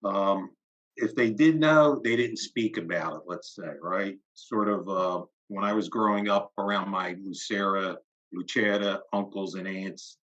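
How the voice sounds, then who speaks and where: A man answering interview questions thoughtfully. He has a low voice with a bright, forceful edge. The audio is dull and lo-fi. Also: a little slow. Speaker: guest.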